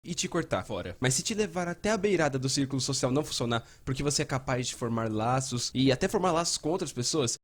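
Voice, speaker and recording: A Brazilian man dubbing a mental health educator who explains things in a conversational, animated way. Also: mid-range voice, quick pace, few pauses, lightly acted. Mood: earnest, explanatory. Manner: animated, acting out example dialogue. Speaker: fan dubber of an educational video.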